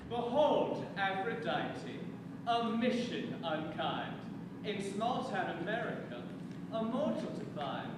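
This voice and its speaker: An actor playing a solemn Greek chorus speaker in a stage play, declaiming rhyming verse portentously. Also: male voice, high-pitched, big pitch swings, slow pace, music in the background, full character voice. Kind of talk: projected stage chorus narration. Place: theater stage, recorded from afar. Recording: very echoey, noisy distant theater with crowd.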